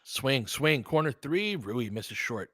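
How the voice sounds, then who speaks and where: Bright-voiced man calling basketball casually. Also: medium pitch, very animated, takes it slowly, slightly rough, no echo, studio quality. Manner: informal and opinionated, clipped phrases during play, talking back to listeners. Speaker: host and play-by-play commentator of a basketball livestream. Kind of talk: casual fan play-by-play commentary mixed with chat.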